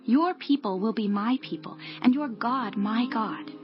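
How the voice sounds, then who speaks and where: Woman acting young widowed daughter-in-law, fairly high voice, humble, earnest, scripture drama, music clearly behind. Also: unhurried, natural voice. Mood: earnest, devoted. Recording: dull lo-fi audio over music.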